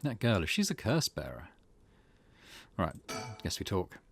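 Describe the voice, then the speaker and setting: A man's voice: low-pitched, wildly swooping pitch, unhurried, fairly bright tone, faint music behind. A man doing let's play narration, reading game text aloud and muttering his own reactions between passages. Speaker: gaming video host.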